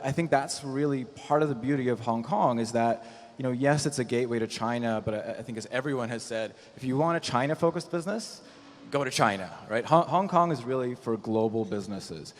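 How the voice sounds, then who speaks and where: A man of medium pitch speaks as an investor on a conference panel. His explanations are measured and dotted with "you know". The sound carries the long reverb of a large hall. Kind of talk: panel discussion, explanatory talk.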